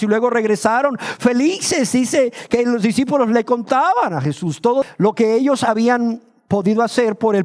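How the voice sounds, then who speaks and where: Male preacher, high voice, rhetorical questions, drawn-out emphasis. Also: a little mellow. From Nicaragua. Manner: rhetorical questions, slows to stress key words. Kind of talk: sermon.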